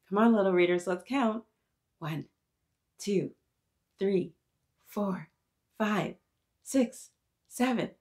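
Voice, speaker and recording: A woman hosting an interactive storytime, reading aloud to young children in an animated, encouraging way and talking directly to her little listeners. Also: medium pitch.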